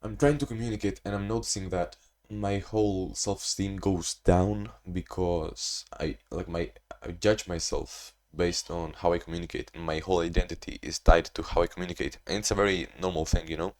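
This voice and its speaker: A young man with a deep, low voice talks through his day in a casual video diary. His delivery is monotone and meandering, full of restarts and loose asides. He sounds like he's recording at home. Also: normal speed, few pauses. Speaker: vlogger.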